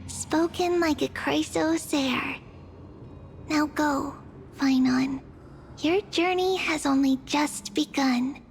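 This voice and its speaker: Serene game spirit. Ceremonious, unhurried blessing tone, faint ambient noise behind. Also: female voice, high-pitched. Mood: solemn, encouraging. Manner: solemn, gentle, ceremonious. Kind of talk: English video game voice acting.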